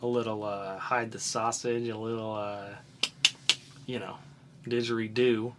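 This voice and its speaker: A man's voice: a little slow, heavy background noise. A man co-hosting a casual comic book podcast, joking around and reading out credits with bouncy energy. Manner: jokey, bouncy, goes high and loud when excited. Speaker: podcast co-host. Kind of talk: casual comic review chat.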